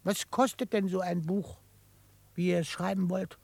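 A man playing a quirky old frontier trapper in a radio drama, excitable and grumbling, gruff but fond, with a crackly voice. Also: high voice, moderate pace, dry recording. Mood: incredulous, grumbling. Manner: excitable, grumbling, chuckling, theatrically indignant. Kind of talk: German radio drama acting.

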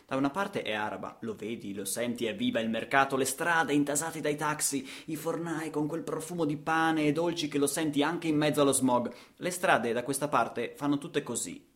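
A man's voice: on the high side, normal speed, few pauses, fairly bright tone. Male actor for a radio theatre monologue; rhythmic, dramatic storyteller delivery. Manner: dramatic, rhythmic delivery with repetitions and rhetorical questions.

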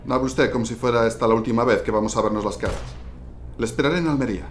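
A man playing a curt, fast-talking lieutenant. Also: medium pitch, slight background noise, close to an everyday voice. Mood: stern. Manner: curt, commanding, urgent. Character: brisk male republican lieutenant giving orders. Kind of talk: radio drama acting. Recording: music bed behind.

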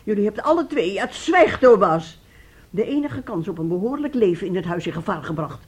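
A woman's voice: medium pitch, animated, fairly quick, husky. A woman acting a stern, middle-aged mother in a radio play, scolding and commanding. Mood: fed up, stern. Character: stern, exasperated mother laying down the law.

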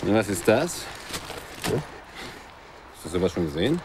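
A greedy, bullying highway robber in a radio play. His lowish voice swings widely in pitch as he barks and taunts. Clear ambient noise sits behind him. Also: sing-song, normal speed. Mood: curious, mocking. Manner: barking, taunting, slangy. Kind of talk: radio drama dialogue.

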